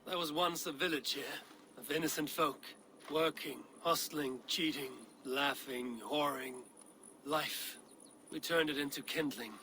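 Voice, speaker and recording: A bright-voiced, stern Viking leader. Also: male voice, a little high, steady tone, slight background noise. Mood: grave. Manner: measured, stern, commanding. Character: grave, level-headed Viking war leader. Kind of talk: dramatic video game acting.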